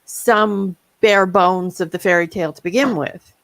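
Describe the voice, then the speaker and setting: A woman's voice: on the low side, unhurried, a bit bright, no echo. A woman talking informally as a guest author on a panel, explaining things in plain conversational terms. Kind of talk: casual panel discussion.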